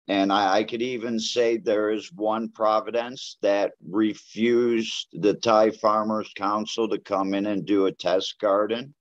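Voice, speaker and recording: A man's voice: fairly low pitch, dry recording. A man talking bluntly in an interview about growing cannabis abroad, rambling with many fillers. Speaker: cannabis grower guest. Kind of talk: casual interview talk. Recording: dull lo-fi audio.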